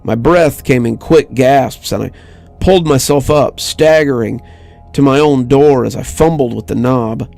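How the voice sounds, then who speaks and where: Gravelly male horror story narrator. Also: mid-range voice, measured pace, a little mellow. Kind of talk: scripted narration of a creepypasta. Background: music bed.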